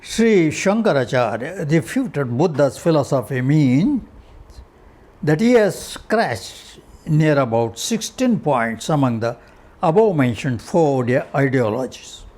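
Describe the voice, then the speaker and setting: A man with an Indian accent reading a scholarly article aloud in deliberate, halting short phrases, his voice husky. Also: a little high, sing-song, a little mellow. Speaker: reader of a philosophy article. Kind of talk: read-aloud scholarly article with Sanskrit verse.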